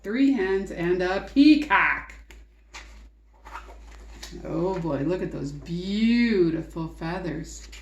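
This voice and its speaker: A woman reading a picture book aloud to children in an expressive, coaxing way, in an echoey room. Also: fairly low pitch, a little hiss or hum. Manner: expressive, coaxing, asks the listener questions. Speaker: read-aloud teacher and storyteller. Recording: roomy echo.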